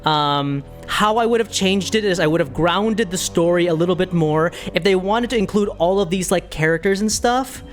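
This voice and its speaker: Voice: mid-range voice, moderate pace, hardly any pauses, soft background music. A game streamer chatting casually and thinking aloud while giving opinions to viewers in a conversational way.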